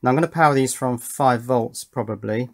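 A man's voice: medium pitch, a little fast. Man thinking aloud at a workbench. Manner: thinking aloud while working. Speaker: host of an electronics build channel. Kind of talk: casual hands-on tutorial commentary. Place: indoor workbench.

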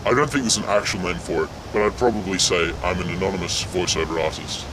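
An actor playing a man whose everyday voice sounds like a disguised voice from an anonymous interview, speaking deadpan and matter-of-fact. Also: sits low, fast, recorded from a distance, noisy background, close to an everyday voice.

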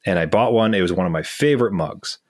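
A Canadian man with a fairly low voice, chatting on a gaming podcast. He tells stories with energy and slips into voices for the people in them. His accent comes through on certain words. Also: fast, dry recording, clean studio sound. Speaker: podcast co-host. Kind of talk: casual chat.

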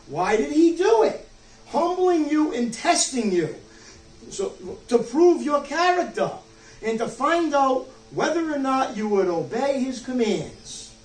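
A man's voice: very high-pitched, big pitch swings, a little slow. A man in his sixties, a pastor, preaching a sermon emphatically from a church pulpit with frequent rhetorical check-ins. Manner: emphatic, rhetorical questions, check-ins like "okay?" and "you see?". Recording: roomy distant mic, some background noise.

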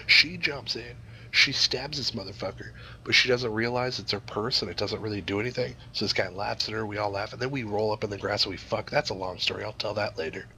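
A man's voice: fairly low pitch, fairly quick, bright, forceful tone, a little hiss or hum, rough recording. A man improvising a crude comic story to a group, swearing freely and rambling in a mock-serious way. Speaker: storyteller, host.

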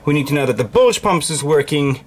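A man's voice: a little high, lively intonation, brisk, soft background music. A man with a South African accent hosting a casual sailing vlog, chatting playfully and teasingly with his guests. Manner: playful, teasing, often adds "eh". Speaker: sailing skipper and vlog host. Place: small wooden sailboat cabin. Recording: noisy handheld camera on a boat.

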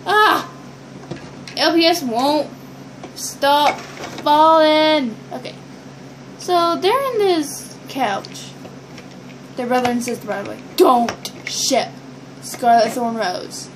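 A woman's voice: high voice, expressive, slow, long pauses. A young teenage girl chatting casually and ramblingly to the camera while showing toys, recorded on a built-in device mic in a bedroom. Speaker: vlogger showing toy builds. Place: small bedroom, indoors. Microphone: built-in device mic at arm's length. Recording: noisy amateur webcam audio.

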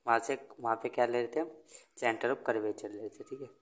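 A man teaches at an indoor desk, speaking Hindi mixed with English and recording on a phone held over his notebook. He keeps asking questions and answering them himself, and he reads the English lines out slowly and deliberately. His voice sits at an ordinary middle pitch. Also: fairly even intonation, slight background noise. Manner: asks and answers own questions. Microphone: phone camera over notebook.

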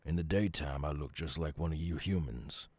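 A man playing a gruff, lonely but gentle werewolf with a Southern US accent in an ASMR roleplay, speaking softly and haltingly. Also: no room sound. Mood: grateful, earnest. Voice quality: gravelly, very deep, husky.

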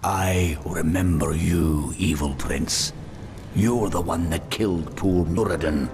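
An actor voicing a stern, grudge-bearing dwarf leader in a fantasy game. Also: male voice, low voice, background noise. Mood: accusing, solemn. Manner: slow, gruff, solemn. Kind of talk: fantasy video game voice acting. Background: game music.

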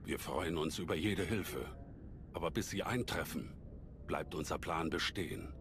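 A man playing a calm old alien Jedi master in a German game dub, measured and scholarly, with a deep gravelly voice. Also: a little hiss or hum. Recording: sci-fi game ambience behind voice.

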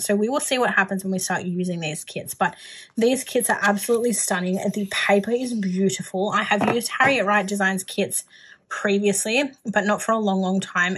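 A woman's voice: medium pitch, fairly bright tone, breathy edge, poor audio. A young Australian woman chatting casually and informally as she shows off a shopping haul, with a gushing manner. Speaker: planner haul vlogger. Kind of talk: casual chatty product show-and-tell.

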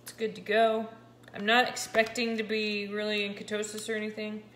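A woman in her forties chatting casually to camera at home, excitable and a little scratchy-voiced. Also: medium pitch, normal speed. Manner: chatty, excitable, talks while handling a meter. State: slightly scratchy voice. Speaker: keto vlogger. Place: kitchen at home, indoors. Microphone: camera pointed down at the countertop. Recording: echoey kitchen with clear background noise.

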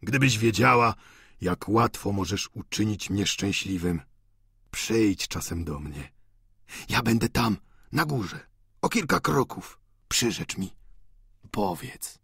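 Doting elderly father, acted by a male narrator, audiobook drama: husky, creaky, effusive, fervently tender. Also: lowish voice, animated, takes it slowly, pauses often, fairly bright tone, no room sound, studio quality, exaggerated voice. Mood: pleading. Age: older. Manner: effusive, pleading, sentimental. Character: doting, tearful old father, fervently devoted to his daughter.

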